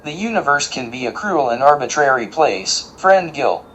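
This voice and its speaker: An actor playing a polite, literal-minded robot diner worker in audio fiction, speaking formally and precisely with deadpan earnestness. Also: mid-range voice, normal speed, a little hiss or hum, poor audio. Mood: earnest, deadpan.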